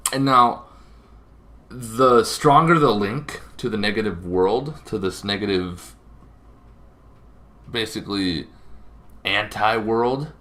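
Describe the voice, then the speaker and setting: A man hosting a casual explainer on tabletop roleplaying lore, talking informally and haltingly with frequent asides. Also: fairly low pitch, sharp tone. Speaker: tabletop gaming video host.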